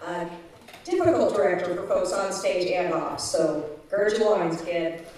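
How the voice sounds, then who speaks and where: A woman's voice: on the low side, lively intonation, a little slow, a little mellow. A woman playing a kindly, no-nonsense theater crew veteran in a live old-time radio comedy. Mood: wry, helpful. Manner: wry, matter-of-fact. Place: community center, live stage show. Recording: echoey hall, distant mic, faint noise.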